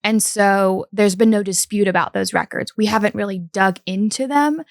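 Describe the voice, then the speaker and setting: Appalachian woman co-host, gravelly voice, dry close sound, laid-back true-crime chat. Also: medium pitch, normal speed, dry recording. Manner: relaxed, with many um fillers. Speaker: podcast co-host. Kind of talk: casual true-crime discussion.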